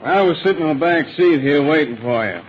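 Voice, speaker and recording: Man acting a menacing American hoodlum, lively delivery. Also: fairly high pitch, a little fast, lightly acted. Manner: blunt, slangy, menacing. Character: threatening small-town western hoodlum, blunt and sneering. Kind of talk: 1940s radio acting. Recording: dull old radio recording, clear noise.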